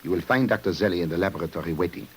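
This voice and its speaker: An actor playing a grave, commanding French police commissioner in a 1950s radio crime drama. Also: male voice, low voice, racing, slight background noise, lightly acted. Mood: urgent, commanding. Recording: old-time radio broadcast.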